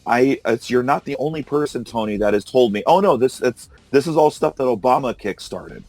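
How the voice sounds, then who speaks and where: A hoarse man hosting a casual political discussion, arguing and incredulous. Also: mid-range voice, animated, fairly quick, a little mellow, no echo, soft background music. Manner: argumentative, incredulous, laughs often. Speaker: podcast host. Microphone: call recorder.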